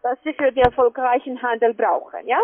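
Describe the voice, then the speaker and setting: A woman with a foreign accent giving a halting phone sales pitch as a call-centre agent, often tagging on questions. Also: fairly even intonation. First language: not German. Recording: narrow, muffled phone line.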